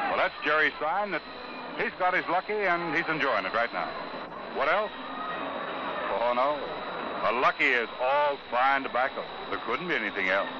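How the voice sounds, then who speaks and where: A man's voice: fairly high pitch, muffled recording, background noise. An American man, a baseball broadcaster, doing a chatty 1950s radio sponsor read. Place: ballpark broadcast booth.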